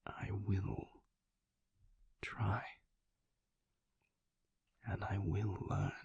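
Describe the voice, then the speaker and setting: A man playing a mysterious, brooding vampire lover in an intimate audio roleplay, tender and hesitant, with halting, formal speech in a husky, breathy whisper. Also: sits low, fairly bright tone, dry recording, heavily acted. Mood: earnest, tender. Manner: halting, measured, formal wording.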